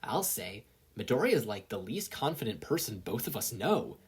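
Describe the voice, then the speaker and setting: A needling inner conscience from an audio story, played by a reader. It is smug, logical and teasing, with lively swings in pitch and a slightly husky tone. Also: moderate pace.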